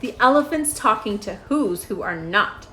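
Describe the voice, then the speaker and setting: A woman's voice: medium pitch, lively intonation, moderate pace, a little hiss or hum. A woman voicing a rowdy male jungle monkey from a storybook, scoffing and jeering. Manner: shouting, scoffing.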